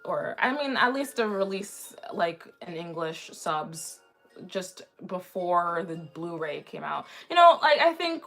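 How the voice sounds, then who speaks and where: Woman, twenties, casual livestream host, chatty and rambling, slightly raspy sore throat, faint background music. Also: on the low side, very animated, measured pace, a bit bright. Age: 20s. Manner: chatty, rambling, frequently restarting sentences. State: mildly sick with a sore throat.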